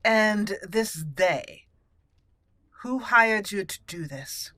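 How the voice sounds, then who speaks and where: Woman roleplaying a bright-voiced, stern elf mage. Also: mid-range voice, lively intonation, dry recording, heavily acted. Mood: stern, probing. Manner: firm, interrogating, measured. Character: stern, suspicious young female elf mage warden. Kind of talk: roleplayed video game dialogue.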